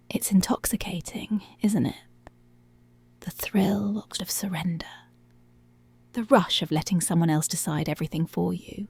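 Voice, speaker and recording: A woman's voice: lowish voice, a little mellow, no room sound, exaggerated voice. A woman playing a dominant, teasing policewoman in an intimate, half-whispered ASMR roleplay. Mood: seductive, possessive. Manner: slow, breathy half-whispered, possessive teasing.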